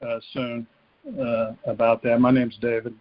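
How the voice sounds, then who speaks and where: A male caller speaks over a dull, dry phone line in a flat, even tone. He phrases his casual questions haltingly, as someone seeking advice. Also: mid-range voice, no echo. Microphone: telephone.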